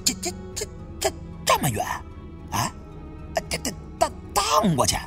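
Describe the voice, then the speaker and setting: A man's voice: very high-pitched, huge pitch swings, a little slow, over music, voice pushed up, full character voice. A man voicing a jittery young male tomb raider with a heavy stutter in an audiobook. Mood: alarmed.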